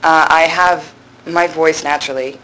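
Low, resonant young woman, thoughtful. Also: steady tone, a little slow, fairly bright tone, slight background noise, rough recording. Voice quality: low voice with strong body resonance. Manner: thoughtful, hesitant, many fillers and restarts. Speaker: acting graduate student, interviewee. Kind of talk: reflective conversational interview.

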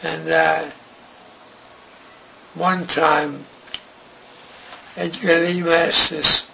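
An older man recalling his radio career in an interview, speaking haltingly and restarting often. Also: on the high side, level tone, gravelly. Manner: slow, halting, with many restarts. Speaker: interviewee, radio writer and director. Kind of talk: reminiscing interview. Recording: noisy recording.

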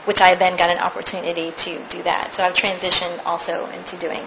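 A woman's voice: lowish voice, little pitch movement, quick pace, lo-fi sound, background noise. Actress telling her stage story. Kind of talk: casual interview.

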